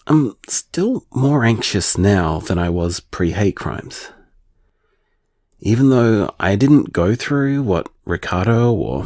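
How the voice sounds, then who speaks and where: A man playing an anxious, tender man confiding in voicemails to his partner in an intimate audio drama, hesitant and earnest, with halting phrasing. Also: low-pitched, darkish tone, no room sound, clean studio sound, full character voice.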